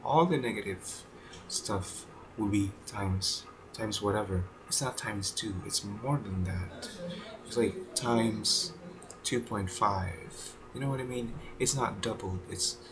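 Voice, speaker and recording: A man in his twenties thinking aloud in a casual video journal, halting with many fillers, restarts and casual swearing. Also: lowish voice, noisy background. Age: 20s. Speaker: vlogger.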